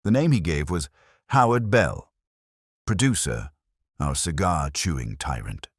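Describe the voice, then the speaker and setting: A man's voice: extremely low pitch, sing-song, a little slow, husky, dry recording, studio quality. A man narrating a first-person hardboiled detective audiobook in a dramatic, wry storytelling manner.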